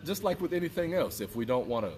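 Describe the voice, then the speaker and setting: A man's voice: on the high side, big pitch swings, fast, darkish tone, distant mic, slight background noise. A man in his thirties giving an earnest, repetitive motivational talk outdoors. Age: 30s. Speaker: fitness vlogger. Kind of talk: casual motivational talk to camera. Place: outdoors by water and a fountain.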